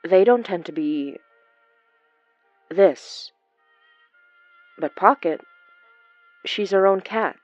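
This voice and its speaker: An actress playing a warm, earnest young woman in an audio drama, gentle and reflective, with sighs. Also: medium pitch, drawn-out words, pauses often, dry recording, muffled recording, soft background music. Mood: earnest, probing. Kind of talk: naturalistic audio drama acting.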